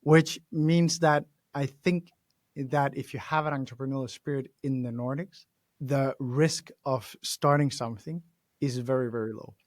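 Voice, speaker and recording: A man from Denmark with a Danish accent, hosting an interview in an animated, chatty way with frequent laughs. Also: mid-range voice, measured pace, dry recording, clean studio sound. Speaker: podcast host. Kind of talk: casual interview conversation.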